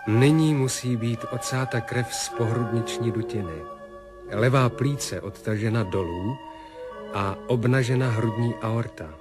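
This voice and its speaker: An actor playing a medical narrator who reports on surgery in a formal, measured, clinical way in a 1970s radio drama. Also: male voice, mid-range voice, natural voice. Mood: clinical, grave. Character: male medical narrator reporting a surgical operation. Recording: music under the voice.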